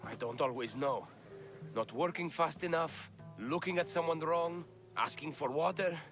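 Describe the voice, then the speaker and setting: A man's voice: on the high side, normal speed, fairly bright tone, faint music behind, lightly acted. An actor playing a weary, bitter male slave with a downcast manner.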